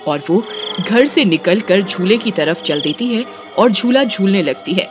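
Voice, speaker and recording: A woman's voice: mid-range voice, expressive, measured pace. Woman narrating a scripted story. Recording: music behind the voice.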